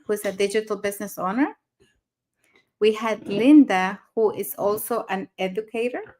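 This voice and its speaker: Woman from Mexico, show co-host, middle pitch, hesitant pauses. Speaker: podcast co-host. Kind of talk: casual show hosting.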